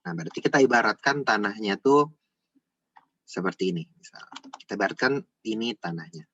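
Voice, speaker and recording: A man's voice: fairly low pitch, animated, fairly quick, a bit bright, highs cut off. A man giving an informal step-by-step tutorial, repeating himself and often adding small checking tags. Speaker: accounting tutor.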